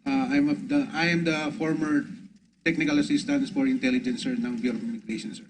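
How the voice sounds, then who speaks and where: A man's voice: medium pitch, steady tone, fast talker, noisy background. A man with a Filipino accent, a police officer speaking formally and deferentially.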